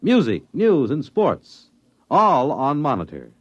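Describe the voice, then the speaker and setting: A man's voice: a little high, huge pitch swings, slow, dull audio. A man announcing on a 1950s network radio program in a formal, emphatic delivery. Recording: old radio broadcast with music.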